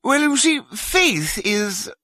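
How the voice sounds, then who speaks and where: An actor playing a pompous, cowardly upper-class British big-game hunter who bluffs with flustered, hesitant bluster in a comic video game. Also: male voice, extremely high pitch, very animated, slow, sharp tone, no echo, heavily acted. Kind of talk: theatrical comic game dialogue.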